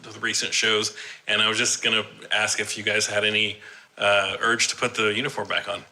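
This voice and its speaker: A man's voice: on the low side, level tone, a little fast, bright, forceful tone. A man asking an informal question from the audience at a convention.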